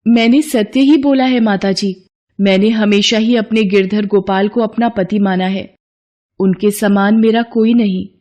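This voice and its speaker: A woman's voice: medium pitch, fairly even intonation, a little slow, a little mellow, close to an everyday voice. A woman playing a devoted young bride in a storybook tale, earnest and insistent. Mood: earnest, resolute. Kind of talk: acted devotional storybook dialogue.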